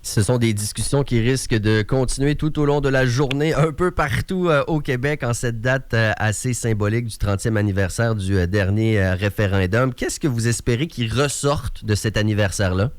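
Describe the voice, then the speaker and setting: Smooth-voiced radio host. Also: male voice, medium pitch, dry recording. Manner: measured, conversational questioning. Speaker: radio morning-show host. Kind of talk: radio interview.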